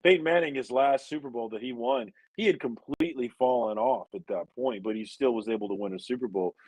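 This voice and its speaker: A deep-voiced man in his forties, co-hosting a casual chat in a laid-back, wry, rambling way. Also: big pitch swings, a little fast, gravelly, a little mellow, slightly rough, dry recording, poor audio. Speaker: co-host.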